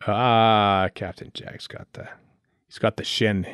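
Hesitant low-voiced man, close dry sound. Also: steady tone, slow, a bit bright, smooth, no echo. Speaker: podcast co-host. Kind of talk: casual TV commentary chat.

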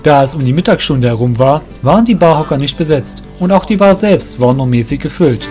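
A man's voice: on the high side, animated, brisk, a little mellow, faint music behind. A man reading scripted narration for a fantasy audio drama.

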